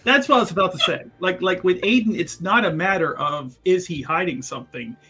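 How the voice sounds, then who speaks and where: High, bright-voiced man at a game table. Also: husky. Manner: halting, repeats words. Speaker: tabletop roleplay player. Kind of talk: casual in-game banter.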